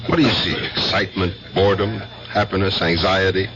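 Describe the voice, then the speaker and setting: A man's voice: deep voice, steady tone, fairly quick, lightly acted. An American man acting a wry, watchful police detective and protective brother in a dry, understated 1970s radio drama style. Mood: probing, suspicious. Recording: muffled, faintly noisy old radio recording.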